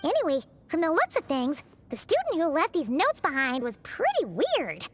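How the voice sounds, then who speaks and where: A woman's voice: high voice, huge pitch swings, normal speed. A woman voicing a tiny, childlike, chirpy and bossy fairy companion in an English video game, with an American accent. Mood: reassuring. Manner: speaks of herself in the third person, exclaims brightly. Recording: music behind the voice.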